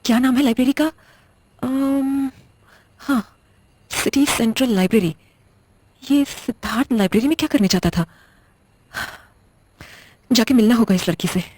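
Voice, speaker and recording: A woman playing a confused, uneasy young widow who talks haltingly in an audio horror drama. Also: fairly high pitch, a little mellow, a little hiss or hum, close to an everyday voice. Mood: suspicious, puzzled. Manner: hesitant, halting, muttering questions to herself.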